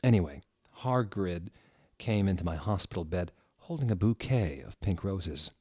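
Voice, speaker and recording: A man with a fairly low voice reads fan fiction aloud as comedy. His delivery is theatrical and sardonic, and he drops out of the story for asides. The recording is close and dry. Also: lively intonation, normal speed, darkish tone, slightly rough, no echo. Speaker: reader. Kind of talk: mock-dramatic comedic reading of fan fiction.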